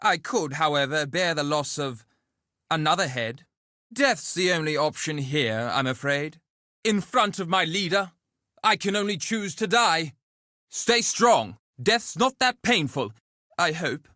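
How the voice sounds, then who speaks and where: An actor playing a cocky, loquacious duelist and schemer in a video game, with a wry, flippant, theatrical delivery. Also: male voice, a little high, animated, measured pace, pushed and bright, no echo, studio quality. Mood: rueful, resigned.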